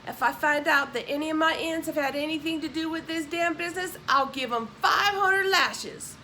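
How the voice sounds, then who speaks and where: A woman's voice: high voice, normal speed, sharp tone. A woman narrator puts on a threatening slaveholder from a historical storybook. The words come clipped and stern, with a coaxing edge. The home recording carries faint noise. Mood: menacing. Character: stern, threatening male slaveholder, cunningly persuasive. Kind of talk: audiobook character voice.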